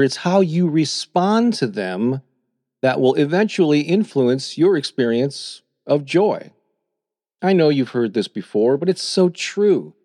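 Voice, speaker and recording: A middle-aged man reading his own calm, encouraging self-help talk as a podcast host. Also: medium pitch, no echo, studio quality. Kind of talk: scripted motivational narration.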